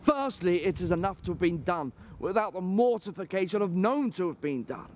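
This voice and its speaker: Man playing a high-voiced, calculating Victorian husband. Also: animated, fairly quick, a little mellow, a little hiss or hum. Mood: brisk, calculating. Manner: formal, precise, argumentative, mocking retorts. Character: cool, calculating Victorian husband, a deceived fortune hunter. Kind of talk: theatrical period drama acting.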